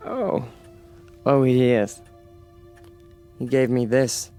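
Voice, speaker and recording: A slow-spoken, intense young zealot. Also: male voice, medium pitch, steady tone, mellow, faint music behind. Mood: awed, fervent. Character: intense, zealous young male demagogue, easily led. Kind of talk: radio drama acting.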